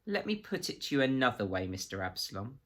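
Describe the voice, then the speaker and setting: A man voicing a softly menacing, coldly polite male official who questions with formal, conversational precision in a storybook reading. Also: medium pitch, very animated, quick pace. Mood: cold, pressing.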